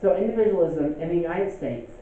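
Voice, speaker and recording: A young male teacher gives a casual classroom lecture, often pausing to ask "okay?". His voice sits a bit high for a man. The sound is thin and phone-like, with heavy room echo and a faint hiss. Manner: conversational, checks in with "okay?".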